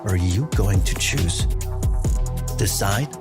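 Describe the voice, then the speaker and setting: A man reading a scripted advertisement voice-over in a slow, dramatic way. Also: sits low, expressive. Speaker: ad narrator. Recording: voice over loud music. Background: loud music.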